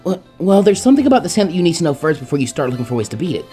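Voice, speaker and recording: Man doing a polite, bookish, high-voiced young woman. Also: sing-song, rapid-fire, warm tone, faint music behind. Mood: nervous, informative. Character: timid, earnest young librarian ninja woman. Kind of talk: character voice in fan fiction reading.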